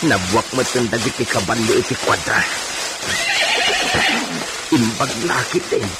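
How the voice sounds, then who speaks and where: An actor with an Ilocano accent playing a hot-tempered, imperious landowner and husband in a radio comedy-drama, exclaiming indignantly. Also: male voice, mid-range voice, normal speed, heavy background noise, lightly acted. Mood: secretive, muttering.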